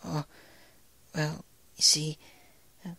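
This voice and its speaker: A man voicing a timid, soft-spoken female pegasus pony in a fan fiction reading, hesitant and breathy. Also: a little high, completely flat delivery, slow pace, no room sound, voice pushed up, full character voice. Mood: flustered, hesitant. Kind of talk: fan fiction read aloud in character.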